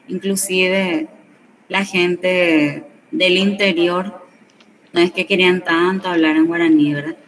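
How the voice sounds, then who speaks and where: Woman, teacher, slow explanatory delivery, distant mic, faint room noise. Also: lowish voice. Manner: slow explanatory, checks understanding with tag questions. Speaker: Guarani teacher. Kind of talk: classroom lesson. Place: classroom.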